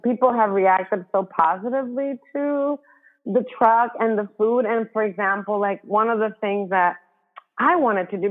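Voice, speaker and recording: Woman author on a tinny phone-like line. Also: medium pitch. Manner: earnest, thinking aloud with many fillers. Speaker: guest author. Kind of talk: casual interview talk.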